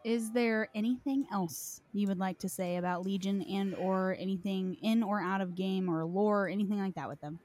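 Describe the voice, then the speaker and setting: A woman's voice: medium pitch, fairly even intonation, normal speed, hardly any pauses, darkish tone. A woman hosting a gaming podcast, chatting informally and with some self-deprecation. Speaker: podcast host. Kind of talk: casual gaming chat.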